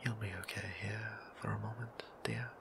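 A man voicing a gentle, easily flustered male vampire priest who talks in a soft whisper and stammers when nervous, for an ASMR audio roleplay. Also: on the low side, level tone, normal speed, vocal fry, noisy background.

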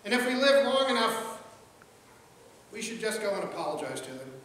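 Middle-aged male pastor, high voice, casual pulpit delivery, distant mic in a reverberant sanctuary. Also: very animated, normal speed, a bit bright, a little hiss or hum. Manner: conversational, repeats words as he goes. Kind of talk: sermon with jokes and quoted voices. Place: large church sanctuary, at a pulpit. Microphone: pulpit mic, filmed from a far camera. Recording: echoey hall, far-off mic, faint ambience.

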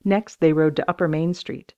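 A woman's voice: sits low, steady tone, moderate pace, a little mellow, clear, resonant tone, muffled recording. A woman reading scripted children's story narration as an audiobook reader.

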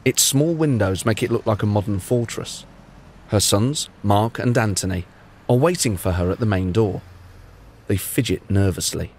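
Male podcast host, low-pitched, measured scripted narration with dry wit. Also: a little mellow, slight background noise. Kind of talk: scripted storytelling narration with banter.

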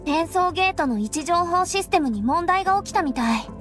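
Teenage anime game girl, very high pitch, swooping intonation, friendly, eager, chatty. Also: brisk, soft background music. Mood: apologetic, fretful. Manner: lively, friendly, girlish. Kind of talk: Japanese anime game voice acting.

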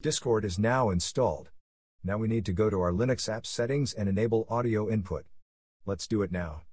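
A man reading scripted software tutorial narration, giving plain step-by-step instructions. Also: lowish voice, brisk, husky, dry recording, studio quality.

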